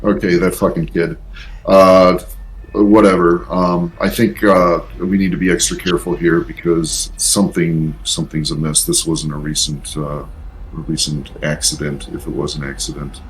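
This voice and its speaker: A man playing a tabletop role-playing game, speaking casually in a measured, deliberate way. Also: deep voice, flat delivery, a little hiss or hum, poor audio. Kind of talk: casual in-character tabletop play.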